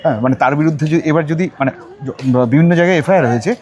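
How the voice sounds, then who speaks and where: A man with a Bengali accent working as an interviewer, putting his questions in a halting way with pauses. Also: on the high side, darkish tone, highs cut off.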